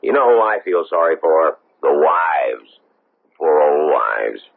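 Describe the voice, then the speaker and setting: A man's voice: rumbling low, very animated, takes it slowly, gravelly, exaggerated voice. A man playing a weary, matter-of-fact police sergeant in a radio drama. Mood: weary routine. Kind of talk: 1970s radio drama acting. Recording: tinny old radio broadcast, light hiss.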